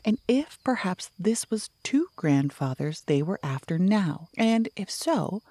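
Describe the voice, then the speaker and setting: A woman's voice: on the low side, dark tone, slightly rough. A woman reading a children's story aloud as an audiobook narrator.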